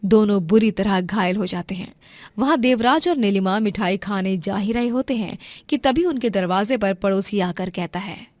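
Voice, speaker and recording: A woman's voice: mid-range voice, normal speed, no room sound. A woman narrating a scripted moral story as its storyteller.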